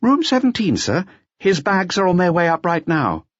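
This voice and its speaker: An obliging male hotel porter from an audiobook played by a man, pitched far above the narration. He is polite and deferential, with lively intonation in a dry recording. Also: normal speed, no room sound, dull audio. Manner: polite, servile.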